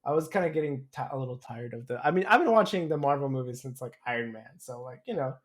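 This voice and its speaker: A man's voice: medium pitch, expressive, fast talker. A young man co-hosting a podcast, chatting casually in a rambling, hesitant way full of hedges. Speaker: podcast co-host. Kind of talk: casual chat.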